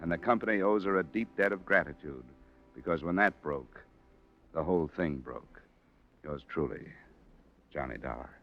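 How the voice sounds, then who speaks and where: An American actor playing a hard-boiled insurance investigator, terse and dry, in 1950s radio noir style. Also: male voice, rumbling low, normal speed, long pauses. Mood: dry, matter-of-fact. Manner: terse, dry, matter-of-fact. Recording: muffled old radio recording, music behind.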